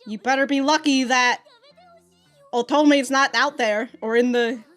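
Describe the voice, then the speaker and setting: A woman's voice: sits high, steady tone, unhurried, a bit bright, faint music behind. A woman giving casual reaction commentary, slangy and exclamatory, swearing freely and often breaking into giggles. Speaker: reaction video host.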